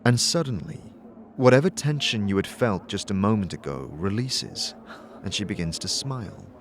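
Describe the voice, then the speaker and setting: A man's voice: lowish voice, animated, normal speed, a little hiss or hum. A man narrating a scripted second-person story for an audio drama.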